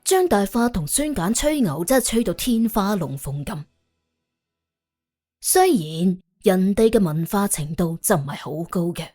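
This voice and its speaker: Husky, animated woman storyteller. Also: mid-range voice. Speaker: audiobook narrator.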